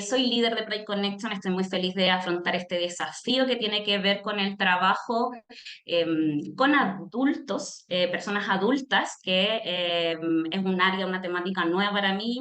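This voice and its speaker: A woman with a Chilean accent giving a personal talk in a reflective, explanatory way, often pausing on filler sounds as she thinks. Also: mid-range voice, fairly bright tone, roomy sound. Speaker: speaker, social worker giving a talk. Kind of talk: personal testimony in a presentation.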